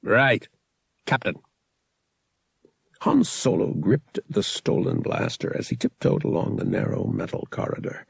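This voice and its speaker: A man's voice: fairly low pitch, creaky, a little mellow, husky, no echo. A man reading an adventure novel as an audiobook narrator, in dramatic scripted narration.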